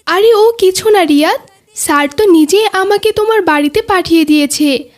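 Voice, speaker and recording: A woman's voice: extremely high pitch, normal speed. A woman voicing a polite, dutiful schoolboy in a cartoon, explaining things earnestly. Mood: reassuring. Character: dutiful, polite, well-behaved schoolboy friend. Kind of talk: cartoon dialogue.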